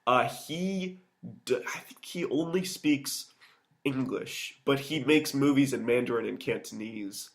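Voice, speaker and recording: A man's voice: medium pitch, a little slow. A young man co-hosting a podcast, chatting casually and rambling, often restarting sentences and laughing. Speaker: podcast co-host. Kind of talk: casual chat.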